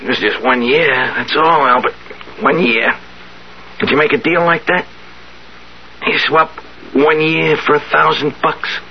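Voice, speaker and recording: An actor playing a tired old wheeler-dealer in a 1970s radio drama, halting yet insistent as he bargains. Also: fairly high pitch, steady tone, vocal fry. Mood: sly, coaxing. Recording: muffled, hissy 1970s radio recording.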